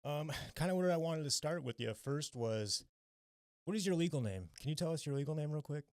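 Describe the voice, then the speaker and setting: A man hosting a podcast, chatting quickly and eagerly about detailing work with his co-host. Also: on the high side, animated, a little mellow, no echo, clean studio sound. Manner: fast, upbeat and chatty. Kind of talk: casual chat.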